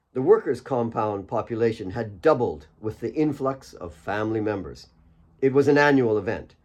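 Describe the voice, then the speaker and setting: A man's voice: medium pitch, a little mellow. A man reading his own fiction as a scripted audiobook narration.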